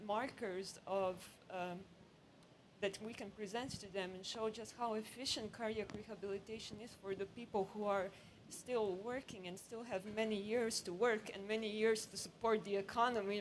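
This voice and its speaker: A woman with a Croatian accent speaks from the audience at a conference. She is earnest and emphatic, in a mid-range voice. Clear background noise surrounds her. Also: mid-range voice, low-quality recording. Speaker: audience member, hospital professional.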